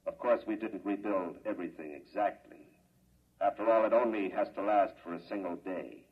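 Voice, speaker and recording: A man playing a calm, smug scientist mastermind in 1950s radio drama, speaking with urbane, matter-of-fact condescension. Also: lowish voice, normal speed, husky. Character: calm, smug male mastermind scientist running a cruel experiment. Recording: muffled vintage radio recording.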